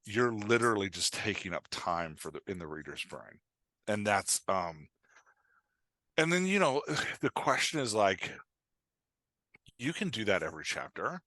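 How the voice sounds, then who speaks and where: A man with a lowish, forceful, bright voice, recorded close and dry. He explains like a coach on a podcast, informal and halting, pressing his points and checking that the listener follows. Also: very animated, no room sound. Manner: informal, halting, filler-heavy, emphatic with check-in questions. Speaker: writing coach, podcast guest. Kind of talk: casual podcast interview, explaining.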